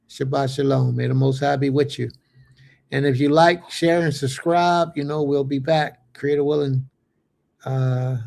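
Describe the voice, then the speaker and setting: A man's voice: mid-range voice, creaky, a little mellow. A man in his late thirties hosting a religious fellowship livestream, reading viewer comments aloud and answering them in easy chat, with Hebrew blessings sprinkled in. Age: late 30s.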